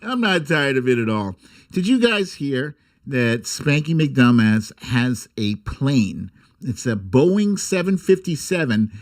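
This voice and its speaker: A man hosting a comic political livestream, speaking with sarcastic, irreverent bite and theatrical, drawn-out emphasis. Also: medium pitch, very animated. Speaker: host of a political humour livestream. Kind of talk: comic political commentary and mock storytime reading.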